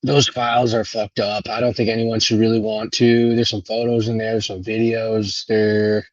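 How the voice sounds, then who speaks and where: A man from Venezuela talking casually and unscripted as a livestream host, rambling and halting as his thoughts trail off. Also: lowish voice, flat delivery, no room sound, muffled recording. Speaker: live stream host.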